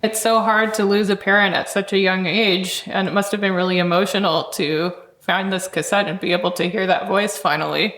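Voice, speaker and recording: A woman's voice: medium pitch, fairly even intonation, a little fast, few pauses, a bit bright. A woman hosting a podcast, giving prepared introductions and putting interview questions to a guest. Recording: roomy, echoing sound.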